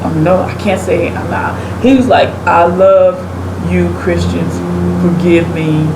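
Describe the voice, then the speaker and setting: A woman's voice: on the low side, steady tone, unhurried. A woman host giving opinionated commentary in conversation, in an emphatic, preacherly manner full of rhetorical questions. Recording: roomy echo, clear air-conditioning-like background noise.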